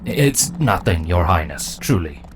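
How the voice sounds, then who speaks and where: A dutiful insect drone captain, acted by a man in a fantasy audio story. He speaks in a low voice, deferential and careful, with a nervous hesitance toward his superior. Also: big pitch swings, a little slow, slight background noise. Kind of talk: dramatic fan fiction audio reading.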